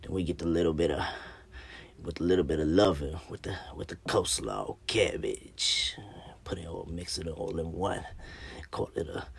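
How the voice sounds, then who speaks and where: A man's voice: deep voice, vocal fry, husky, heavily acted. A man doing a folksy drawling Mississippi man. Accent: mock Mississippi. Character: folksy Southern man bragging about food. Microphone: phone front camera at arm's length.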